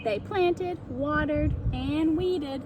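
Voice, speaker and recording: A woman narrates a children's storybook with a high voice. She takes her time, reading expressively and explaining like a teacher. Clear ambient noise sits in the recording. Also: drawn-out words. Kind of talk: storytime read-aloud narration.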